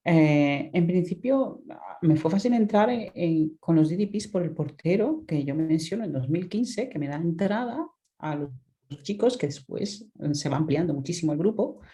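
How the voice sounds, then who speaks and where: A woman's voice: sits low, mellow. A woman from the Dominican Republic presenting her research as an academic talk over a video call, thoughtful, with many restarts and tag questions. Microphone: video call microphone.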